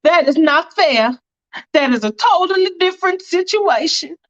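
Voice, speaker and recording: Woman acting out frantic, high-voiced pleading young heroine. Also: expressive, moderate pace, fairly bright tone. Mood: indignant worry. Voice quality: high-pitched. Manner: repeats pleas urgently. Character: frantic, pleading, morally insistent woman named Faith. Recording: dull lo-fi sound with music behind.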